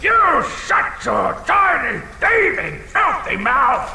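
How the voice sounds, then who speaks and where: A man playing a blustering, insecure, half-blind dragon in a comedic audio drama, swinging between theatrical threats and fussy muttering in a gravelly voice. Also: very high-pitched, very animated, takes it slowly, full character voice. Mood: indignant. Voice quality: gravelly, creaky. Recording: cavernous long reverb with faint noise.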